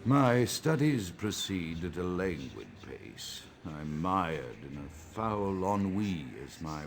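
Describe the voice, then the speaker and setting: An actor playing a pompous, morbid old vampire psychiatrist in a video game, with grandiloquent, brooding and precise diction. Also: male voice, low-pitched, slight background noise, full character voice. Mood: gloomy ennui. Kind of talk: theatrical monologue, video game voice acting.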